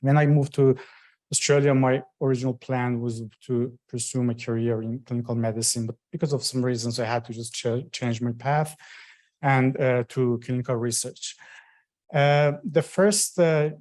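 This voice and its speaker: Iranian-born man, forties; modest, hesitant lecturer, mid-pitched. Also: fairly even intonation, normal speed, a little mellow. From Iran. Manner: hesitant, modest, with frequent fillers. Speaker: guest lecturer. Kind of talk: formal lecture opening.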